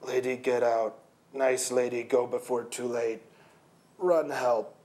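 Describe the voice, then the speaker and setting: A bewildered man half-lost inside a bull's body, as a male storyteller puts him on. He forces out slow, broken fragments of speech, straining to remember words. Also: medium pitch, steady tone, darkish tone, natural voice. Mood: dazed. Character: dazed enchanted man trapped as a bull.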